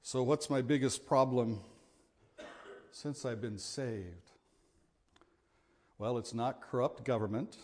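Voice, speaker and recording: Middle-aged guy preaching, big voice, earnest, gets forceful when he's urging folks. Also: mid-range voice, slow pace, pauses often. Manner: earnest, building to forceful exhortation. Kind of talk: sermon.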